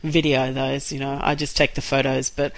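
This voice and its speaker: A woman co-hosting a podcast in casual chat, a little croaky from a cold, talking in an enthusiastic, rambling way. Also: very deep voice, flat delivery, normal speed, no echo, rough recording. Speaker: podcast co-host.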